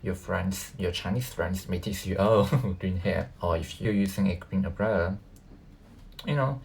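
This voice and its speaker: A man who natively speaks Cantonese, giving casual reaction commentary as a video host, haltingly thinking aloud. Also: sits low, gravelly, darkish tone, slight background noise.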